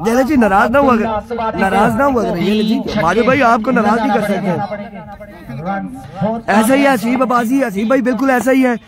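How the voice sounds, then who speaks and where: Man, hoarse, Pakistani accent, very high voice, excitable cricket commentary, loud crowd outdoors. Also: moderate pace. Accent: Pakistani Hindko-Urdu. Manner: excitable, chatty, reads out viewer comments. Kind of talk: live tape-ball cricket commentary.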